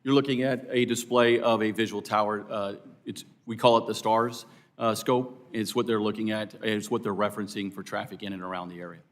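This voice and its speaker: A man's voice: on the low side, little pitch movement, brisk. A man who was an air traffic controller, giving polite, formal testimony at a hearing. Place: hearing room.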